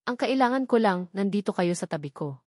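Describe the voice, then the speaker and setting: A woman's voice: medium pitch, lively intonation, a little fast, darkish tone, no echo, clean studio sound, natural voice. Woman voicing an earnest, pleading Filipino lover man. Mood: earnest, devoted. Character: remorseful, devoted wealthy man in love.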